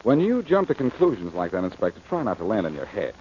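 An actor playing a suave, wisecracking amateur detective in an old-time radio drama, glib, teasing and self-assured. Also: male voice, on the low side, huge pitch swings, fast, a little mellow. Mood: cocky, confident. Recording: muffled, noisy old radio recording.

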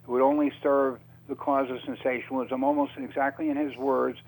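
A man at a middle pitch talks as a guest in a radio interview. He speaks off the cuff and haltingly, groping for words and repeating himself. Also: level tone, poor audio. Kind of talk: unscripted radio interview talk.

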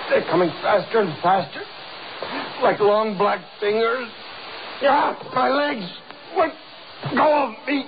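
An actor playing a headstrong, obsessively curious doctor, urgent and commanding, in 1930s radio horror style. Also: male voice, very high-pitched, gravelly, heavily acted. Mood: terrified. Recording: noisy, dull 1930s radio recording.

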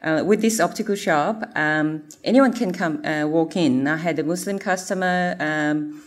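A woman with a notably low, deep voice talks through her mission work. She speaks earnestly, stopping often with fillers as she gathers her thoughts. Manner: halting, many fillers, earnest. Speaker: missionary presenter. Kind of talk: church mission presentation.

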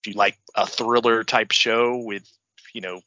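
A laid-back man co-hosts a casual podcast, sounding congested from the flu and swearing freely. His voice is bright and forceful. It sounds dry and a little lo-fi. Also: on the low side, fairly even intonation, normal speed, dry recording. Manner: laid-back, joking, swears a lot, laughs often. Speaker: podcast co-host.

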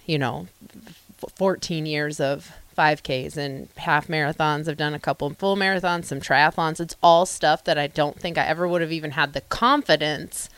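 Low-voiced woman in her forties. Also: steady tone, moderate pace, a bit bright, clean tone. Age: 40s. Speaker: co-host. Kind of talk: casual interview chat.

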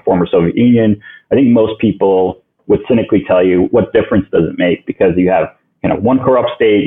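A man from Ukraine whose first language is Russian, a podcast guest answering in a halting way that thinks aloud with many restarts, heard over a phone-line recording. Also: low voice. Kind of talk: casual interview answers. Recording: tinny phone-line sound.

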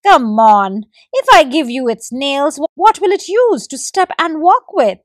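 A proud, somewhat greedy squirrel hunter who teases and wheedles in a high, bouncy voice from a storybook, with a woman doing the voice. His pitch swoops widely. The recording is close and dry. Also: animated, measured pace, no echo. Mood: indignant. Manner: teasing, wheedling.

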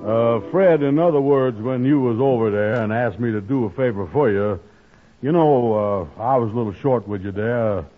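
A man's voice: mid-range voice, moderate pace, soft background music, lightly acted. An actor playing a grumpy, gullible, self-pitying man with folksy ungrammatical, hesitant talk in 1940s radio comedy style. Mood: obliging. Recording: tinny old radio broadcast.

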